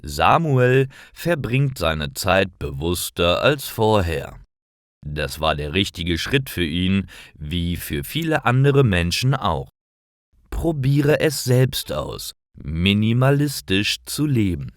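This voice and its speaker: A man narrating simple scripted stories for language learners, reading carefully in clearly phrased short sentences. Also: sits low, very animated, takes it slowly, dry recording, clean studio sound.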